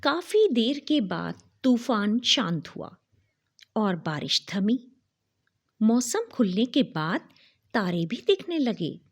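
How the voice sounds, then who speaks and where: A woman narrating a children's folk tale in a deliberate, dramatic storytelling style. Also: on the high side, sing-song, slightly rough. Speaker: storyteller and podcast host. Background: music behind the voice.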